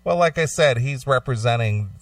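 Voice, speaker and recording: A man's voice: medium pitch, animated, normal speed, no room sound, distant mic. A man co-hosting a radio talk show, reading news items aloud and chatting about them.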